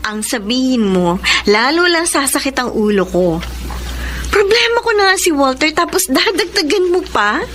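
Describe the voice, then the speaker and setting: A woman's voice: high-pitched, big pitch swings, moderate pace, fairly bright tone, a little hiss or hum. A woman playing a troubled young married Filipina in a romantic radio drama. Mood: troubled, confiding.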